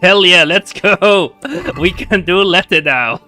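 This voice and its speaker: A man's voice: high-pitched, takes it slowly, bright, forceful tone, soft background music. A man with a Dutch accent, chatting casually while streaming a game, giggly and hesitant with many ums. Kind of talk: casual gameplay commentary.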